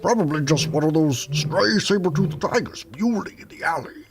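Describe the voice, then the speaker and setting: An actor playing a dismissive, lazy enemy guard in an audio adventure drama. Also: male voice, a little high, animated, normal speed, noisy background.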